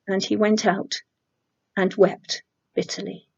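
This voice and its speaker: A woman's voice: medium pitch, level tone, slow pace, creaky, a little mellow, slightly rough. Woman reading scripture, dull recording. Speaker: church congregation member reading scripture. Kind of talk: scripture reading, dramatic narration of a Gospel. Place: indoor room at home with bookshelves. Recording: dull lo-fi home webcam sound.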